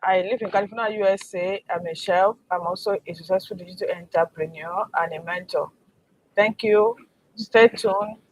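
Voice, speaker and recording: A woman's voice: medium pitch, normal speed, a little hiss or hum, rough recording. A woman with a Nigerian accent introducing herself as a mentor on a livestream.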